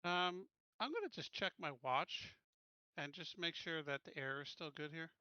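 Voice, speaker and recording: A man with a bright, somewhat high voice talks casually as a player in a tabletop game. His audio is dry, with a slightly muffled lo-fi sound. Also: animated, normal speed, clear, resonant tone, dry recording.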